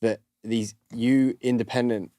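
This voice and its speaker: A man's voice: medium pitch, fairly even intonation, a little slow, dark tone, dry recording, studio quality. A man co-hosting a podcast in casual, conversational chat. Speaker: podcast co-host. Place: podcast recording studio.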